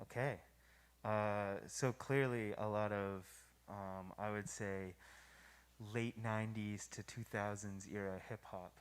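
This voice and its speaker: A man's voice: lowish voice, steady tone, takes it slowly, smooth. A man hosting and moderating a post-show Q&A, asking questions in a hesitant, friendly way. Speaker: festival host and Q&A moderator. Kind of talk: moderating a live Q&A.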